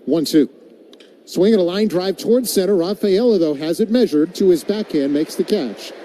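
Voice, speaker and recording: A man's voice: high voice, normal speed, dark tone. A man calling a baseball game live as a radio play-by-play commentator. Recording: live broadcast with clear background noise.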